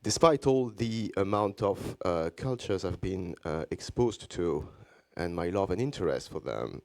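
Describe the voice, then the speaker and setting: A Belgian French man with a very low voice gives a conference talk in English. His delivery is measured and deliberate, with regular pauses between phrases. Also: a little mellow. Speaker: conference speaker, journalist. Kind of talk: conference presentation.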